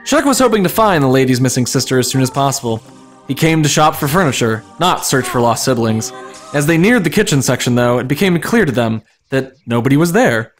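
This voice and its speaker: Man, mid-pitched, animated intonation, dramatic story narration, streamer reading aloud, music behind. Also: brisk. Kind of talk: dramatic narration of comic fan fiction.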